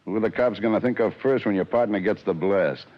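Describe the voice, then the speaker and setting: A man's voice: on the low side, a little fast, lightly acted. An actor playing a cold, sneering hired killer in a 1940s radio drama, curt and menacing with slangy tough-guy talk. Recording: dull lo-fi old radio broadcast.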